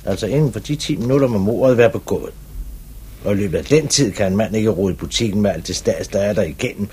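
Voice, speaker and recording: An actor playing a brisk, probing police commissioner in a 1950s radio drama, with clipped, authoritative questioning. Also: male voice, on the low side, mellow, husky. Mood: reasoning.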